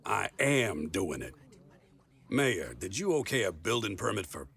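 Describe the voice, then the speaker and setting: A man's voice: low voice, very animated, measured pace, gravelly, a bit bright, full character voice. A man voicing an exasperated small-town western sheriff in an audio drama.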